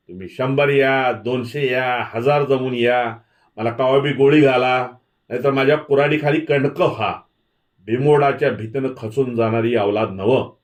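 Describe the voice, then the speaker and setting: A man voicing a defiant, hot-tempered rustic villager in a forceful, declamatory way for a dramatic story reading. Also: mid-range voice, close to an everyday voice. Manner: forceful, declamatory rural speech. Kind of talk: dramatic audiobook character voice.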